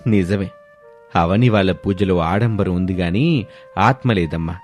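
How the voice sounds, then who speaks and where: A man's voice: deep voice, unhurried, darkish tone, faint music behind, pitched below the performer's own voice. A man voicing a kindly, approving older father-in-law in a family story. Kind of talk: scripted story dialogue.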